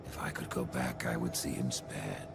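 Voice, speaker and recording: A man voicing a grim, weary horseman of the apocalypse in a dark fantasy game, with a terse, grave and measured delivery. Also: fairly even intonation, heavy background noise, heavily acted. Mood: regretful, grim. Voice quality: gravelly, very deep.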